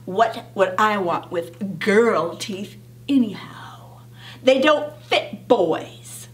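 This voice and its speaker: A woman voicing an ornery young farm boy, a calm, sly know-it-all brother with folksy country talk, in a storybook telling. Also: mid-range voice, drawn-out words, recorded from a distance, pitched below the performer's own voice. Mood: calm, dismissive.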